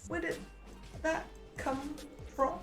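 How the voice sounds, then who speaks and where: A woman's voice: high-pitched, fairly even intonation, slow, a bit bright. Female gaming reactor, gushing and playful, leisurely delivery, echoey room with faint music. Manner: gushing, playful, admiring exclamations. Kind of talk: casual reaction commentary. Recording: roomy echo, game music behind. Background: game trailer music.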